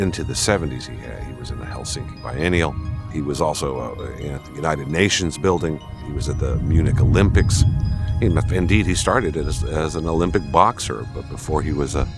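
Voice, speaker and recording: A man's voice: very deep voice, moderate pace, music in the background. A man giving documentary commentary on an artist's work, articulate but with occasional restarts. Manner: articulate with some fillers and restarts.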